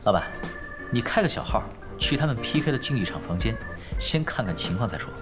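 A man's voice: fairly low pitch, sing-song, fairly quick. A man playing a laid-back, sly young gamer who teases and schemes in a nonchalant, wry way in an audio drama. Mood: calm, amused. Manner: nonchalant, wry, dry teasing. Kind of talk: Mandarin audio drama acting, conversational banter. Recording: studio drama with music underneath.

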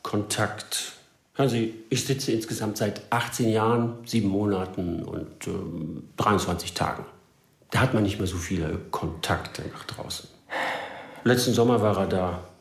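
A long-serving male convict in a radio crime drama, in an echoey room. He grumbles pedantically and with detachment, his lowish voice thick with fry. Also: a little slow. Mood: detached, bitter.

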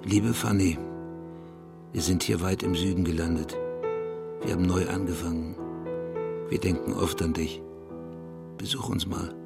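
A man's affectionate letter voice-over in a radio drama. The voice is very deep and creaky, subdued and intimate. Loud music plays along with it. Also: steady tone, unhurried, long pauses. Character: man quietly reading his affectionate postcard to a friend.

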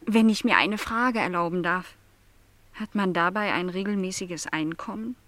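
An actress playing a shy but curious young housemaid in a radio play, speaking hesitantly and very politely. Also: female voice, fairly low pitch, normal speed, a bit bright, no echo, close to an everyday voice. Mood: curious, tentative. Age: young adult.

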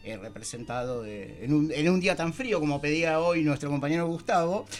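High-voiced Argentine man hosting, music behind. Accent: Argentine Spanish. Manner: informal, halting, searching for words while theorizing. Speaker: radio host. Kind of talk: conversational talk-show discussion. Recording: music under the voice.

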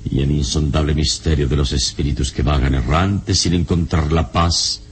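A man playing a calm, wise hero in a classic radio drama, speaking in a solemn, philosophical, measured way. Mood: reassuring, resolute. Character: calm, wise male hero who investigates spirits. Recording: old radio recording, clear hiss and rumble.

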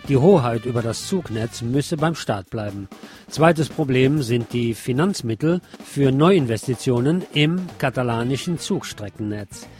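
A man's voice: mid-range voice, expressive, a little slow, darkish tone, faint music behind. A man hosting a radio news programme, reading reports and adding his own commentary. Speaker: radio host.

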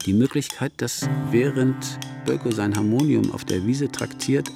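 A man giving measured, formal commentary that argues through speculative points of music history. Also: medium pitch, gravelly.

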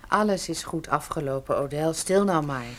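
Woman acting a calm, low-voiced female detective's assistant. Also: normal speed, a little hiss or hum, lightly acted. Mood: soothing. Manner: calm, reassuring, firm. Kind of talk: Dutch radio play acting.

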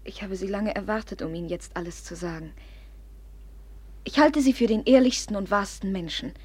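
An actress in a 1950s radio play playing a proud, impulsive young noblewoman who flares up imperiously and then drops to a hush. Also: mid-range voice, normal speed, slightly rough, no echo, close to an everyday voice. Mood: earnest, fervent.

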